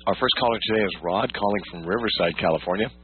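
A man with a low, husky voice hosts a live Bible call-in show on radio. He explains things in a measured way. The broadcast sounds dull and lo-fi, with a faint hum beneath him. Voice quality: husky morning voice. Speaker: radio host. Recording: muffled lo-fi radio, humming noise.

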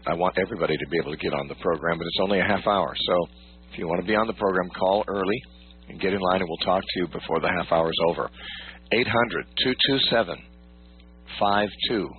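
A man hosting a live Bible call-in radio show, explaining in a measured way with a husky morning voice. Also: deep voice. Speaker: radio host. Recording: muffled lo-fi radio, humming noise.

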